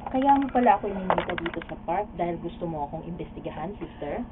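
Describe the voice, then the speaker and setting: A noisy, dull recording of a radio drama. An actress plays an indignant, defensive woman with a fairly low voice, challenging whoever accuses her. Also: animated, fast talker, close to an everyday voice. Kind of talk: radio drama acting in Tagalog. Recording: noisy, muffled studio camera audio.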